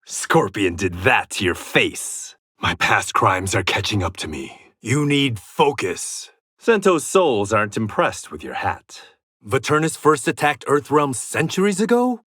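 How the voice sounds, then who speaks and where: A man's voice: medium pitch, big pitch swings, a little slow, a bit bright, breathy edge, no room sound, clean studio sound, exaggerated voice. An actor playing a proud warrior and former gangster in a video game, confident and terse, with a sardonic edge to his short fight taunts. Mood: cool, confident.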